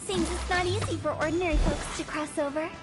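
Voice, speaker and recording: A woman's voice: very high-pitched, steady tone, brisk. A woman voicing a playful, cryptic young woman guide in a video game, with a teasing, breezy, theatrical manner. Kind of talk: video game character dialogue. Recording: music behind the voice. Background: game music.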